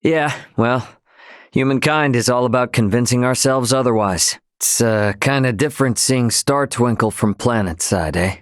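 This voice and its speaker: A man's voice: mid-range voice, no room sound, clean studio sound, lightly acted. An actor playing a brash, informal young starship captain, a cocky but loyal man, in a video game. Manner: informal, slangy, plain-spoken. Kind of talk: English game dub character dialogue.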